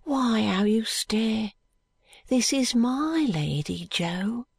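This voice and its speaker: A woman voicing a gentle, deferential poor woman in an audiobook, low, breathy and soothing. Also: lively intonation, drawn-out words, no room sound, exaggerated voice. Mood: soft, concerned. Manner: hushed, soothing. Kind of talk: audiobook character voice.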